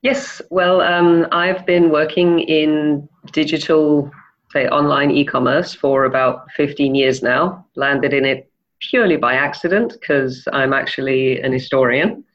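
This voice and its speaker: A woman from Denmark giving thoughtful interview answers, pausing often with ums and uhs. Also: sits low, steady tone, dull audio. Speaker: interview guest, e-commerce manager.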